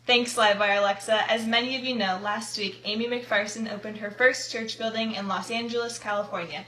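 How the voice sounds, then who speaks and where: A woman's voice: on the high side, fairly even intonation, fairly quick, pushed and bright. A woman playing a chipper, slangy correspondent in a scripted amateur 1920s radio newscast. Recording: echoey room.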